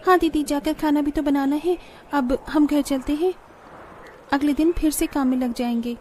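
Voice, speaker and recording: Lady voicing young village bahu in a cartoon, high soft-toned voice, earnest and respectful. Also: steady tone, normal speed, a little hiss or hum, lightly acted. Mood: dutiful. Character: hardworking, dutiful young daughters-in-law in a village family. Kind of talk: Hindi moral-story cartoon dialogue.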